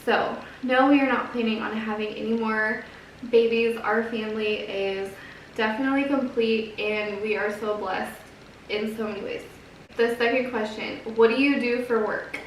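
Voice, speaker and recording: A woman in her thirties talks in a soft, quiet voice with an earnest, gentle manner and a slightly high pitch. She is picked up from a distance in a very echoey room. A faint hiss of noise sits underneath. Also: steady tone, moderate pace. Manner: gentle, earnest, chatty with fillers. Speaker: vlogger, mom of three. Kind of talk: casual chat to camera. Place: home.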